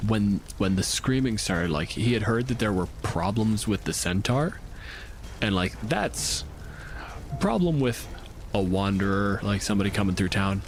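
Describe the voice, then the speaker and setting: A man narrating as game master of a tabletop game in an animated, casual way, ready to swear. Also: deep voice.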